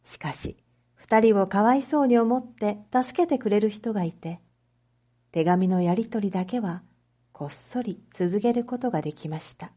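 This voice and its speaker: A woman reading a story aloud as a narrator, in a measured, clearly phrased storytelling manner for reading practice. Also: medium pitch, lively intonation, mellow. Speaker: narrator, Japanese reading teacher.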